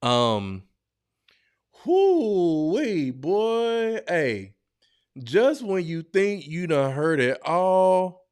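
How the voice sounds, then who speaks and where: Slow, smooth-voiced male podcast host. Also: high-pitched, very animated, a little mellow, no room sound, clean studio sound. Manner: slangy, blunt and joking, with frequent exclamations. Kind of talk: casual reaction commentary.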